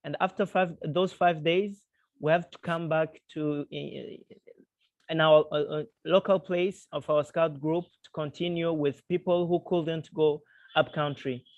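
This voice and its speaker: A young man from Burundi who leads a scout group talks slowly, stopping and restarting often. His voice sits a bit high. The call audio is dry and dull. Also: fairly even intonation, no room sound. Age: 20s. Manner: halting, many restarts and fillers. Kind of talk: casual chat. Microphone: video call.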